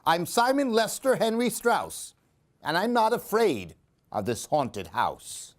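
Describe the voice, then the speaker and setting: A male storyteller reads for children in a high voice that swings widely in pitch. He takes his time, giving the rhymes a theatrical, singsong lilt. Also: very animated, takes it slowly. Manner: theatrical, singsong rhyming delivery. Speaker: storyteller reading aloud. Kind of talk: expressive children's story reading.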